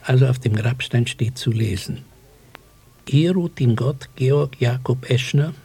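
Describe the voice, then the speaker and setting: An actor playing a mild, reflective widowed village man in a radio play, with a measured, hesitant delivery. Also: mid-range voice, dark tone, full character voice. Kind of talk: German radio play acting. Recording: clearly hissy cassette recording.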